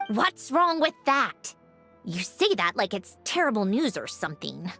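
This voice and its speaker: A woman's voice: medium pitch, very animated, a little slow, sharp tone, faint music behind, exaggerated voice. A woman voicing a blunt, skeptical, prickly young woman in a video game. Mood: indignant. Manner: blunt, incredulous. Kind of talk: English video game voice acting.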